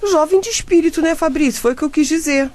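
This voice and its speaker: A woman's voice: sits high, fast, a little mellow, natural voice. An actress playing a dramatic, doting mother in her late thirties with a Brazilian accent in a comedic radio drama. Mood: indignant. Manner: theatrical, plaintive. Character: dramatic, doting 38-year-old mother, a reporter.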